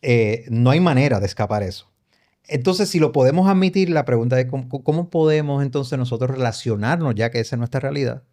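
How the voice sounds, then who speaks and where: A man in a podcast discussion with an ordinary middle-pitched voice. He speaks in a measured way and sets out his points formally, one step at a time. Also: mellow. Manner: measured, lays out arguments formally. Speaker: podcast co-host. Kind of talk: casual church discussion.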